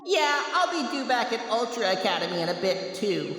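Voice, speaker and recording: A woman's voice: on the high side, big pitch swings, moderate pace, fairly bright tone, faint music behind. A woman playing a haughty, easily disgusted young alien student in an audio horror drama, with an exaggerated teenage delivery. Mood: casual, curious. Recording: echoey hall reverb, faint background noise.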